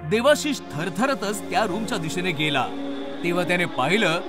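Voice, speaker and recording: High-pitched man narrating horror, music behind. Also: lively intonation, normal speed. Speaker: story narrator. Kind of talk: scripted horror story narration.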